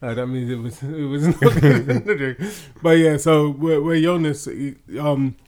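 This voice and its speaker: A man's voice: on the high side, fairly even intonation, a little slow, darkish tone. A man co-hosting a podcast, rambling and hesitant with many fillers and restarts, and laughing often. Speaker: podcast co-host. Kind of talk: casual chat.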